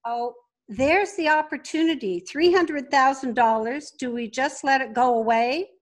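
A woman's voice: high voice, a little slow. A woman telling stories conversationally as an interview guest. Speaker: podcast guest, entrepreneur.

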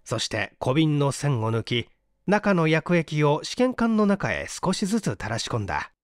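Male audiobook narrator for mystery fiction, animated dramatic read, dry studio-like sound. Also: a little high, measured pace, dry recording.

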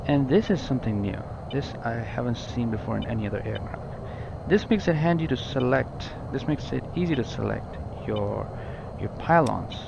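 A man with a mid-pitched voice talks through a flight simulator cockpit as a tutorial presenter. His delivery is informal and explanatory, often repeating himself to clarify. The sound is dull and lo-fi, with clear noise behind him. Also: lively intonation, normal speed.

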